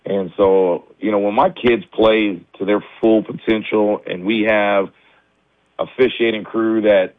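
Man, coach, slightly raspy after the flu, tired, fillers and restarts, dry phone-line sound. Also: fairly low pitch, steady tone, measured pace, no room sound. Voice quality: a little raspy. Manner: candid, many restarts and fillers. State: recovering from flu, low energy. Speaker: high school basketball coach. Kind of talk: phone-in radio interview.

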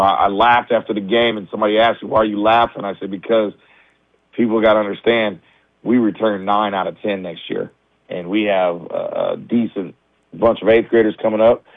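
A man, a high school basketball coach, talking candidly in a radio interview with lots of restarts; his voice is a little raspy as he recovers from the flu. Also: no echo. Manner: candid, many restarts and fillers. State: recovering from flu, low energy. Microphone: phone line. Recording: tinny dry phone line.

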